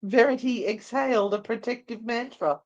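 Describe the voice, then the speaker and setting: A woman narrating a story as a dramatic live read, deliberate and theatrical. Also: on the high side, darkish tone, highs cut off. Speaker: narrator and co-host.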